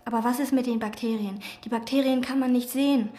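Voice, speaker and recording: A woman's voice: on the high side, fairly even intonation, quick pace, reverberant, lightly acted. A woman voicing a brooding, obsessive chambermaid. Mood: musing. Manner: quiet, probing, musing. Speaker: hotel chambermaid and narrator. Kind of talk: radio play narration and dialogue.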